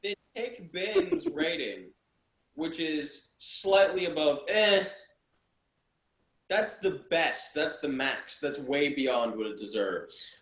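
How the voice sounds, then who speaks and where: A man's voice: fairly high pitch, sing-song, slow, a bit bright. A man chatting casually as co-host of a review, sarcastic and given to exaggeration. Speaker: co-host of a TV episode review show. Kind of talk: casual chat, episode review. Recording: dull, roomy lo-fi sound.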